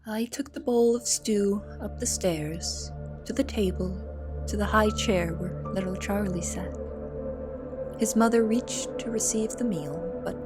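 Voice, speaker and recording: A woman's voice: medium pitch, unhurried, over music, close to an everyday voice. A woman playing an anxious young cook in an audio drama, narrating and speaking with confessional, dramatic intensity. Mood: haunted, uneasy. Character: anxious young female cook in a big house. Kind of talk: audio drama first-person narration and dialogue.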